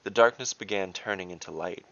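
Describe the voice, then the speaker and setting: A man narrating a scripted fantasy story in measured, deliberate phrases. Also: low-pitched, vocal fry, sharp tone, no room sound, muffled recording. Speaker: narrator and game master.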